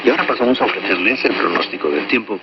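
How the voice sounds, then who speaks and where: A male radio announcer with a fairly low voice reads scripted news formally and with a measured delivery. He comes through a shortwave broadcast, distant from the listener, with clearly audible noise over his words. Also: expressive, muffled recording.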